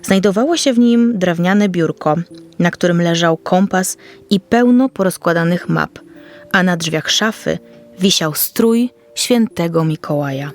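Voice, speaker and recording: A woman storyteller reading a scripted Christmas fairy tale for children. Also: on the low side.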